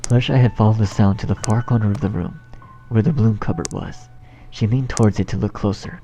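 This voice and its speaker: Low, gravelly man narrating a story. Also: fairly even intonation, brisk, mellow, faint music behind. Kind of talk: scripted storybook narration of fan fiction. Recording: dull home recording with faint noise.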